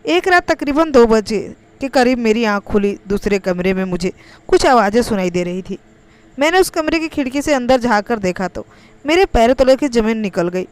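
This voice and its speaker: Clear, smooth-voiced woman narrating a story. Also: on the high side, animated, normal speed, a little mellow. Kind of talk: scripted first-person narration of an emotional Hindi story.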